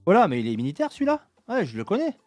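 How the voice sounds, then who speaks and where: A man's voice: high-pitched, wildly swooping pitch, racing, a little mellow, dry recording, faint music behind, pitched above the performer's own voice. A man voicing a chatty, nosy middle-aged barkeeper who talks bluntly and jokes around. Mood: gossipy. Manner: blunt, jokey, rambling.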